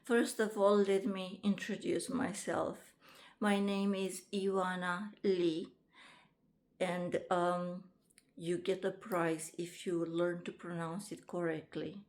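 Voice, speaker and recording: A woman, introducing herself to camera in a casual vlog, halting often with ums and restarts. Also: fairly low pitch, flat delivery, darkish tone. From Romania. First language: Romanian. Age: 50s. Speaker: vlogger and author.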